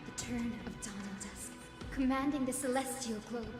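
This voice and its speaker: A woman playing a gentle, resolute young priestess in a fantasy game, speaking with solemn earnestness. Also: fairly high pitch, moderate pace, close to an everyday voice. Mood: quiet resolve. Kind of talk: dramatic fantasy game voice acting. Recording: echoey reverb, music behind.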